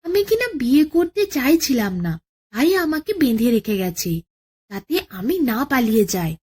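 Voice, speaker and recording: A crafty little jackal from a bedtime folk tale, played by a woman storyteller. The voice is high and very lively, coaxing and persuasive like a born schemer. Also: measured pace, slightly rough, exaggerated voice. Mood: feigned reluctance. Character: sly, quick-witted male jackal nephew, scheming trickster.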